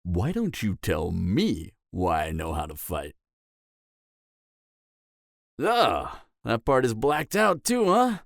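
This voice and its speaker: A man's voice: fairly low pitch, huge pitch swings, gravelly, dry recording, clean studio sound, heavily acted. A man playing a cocky, flirtatious and dangerous vampire in an audio roleplay, teasing the listener with a smug, seductive delivery. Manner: teasing, smug, seductive drawl with dramatic pauses. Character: cocky, flirtatious, dangerous adult male vampire, former killer.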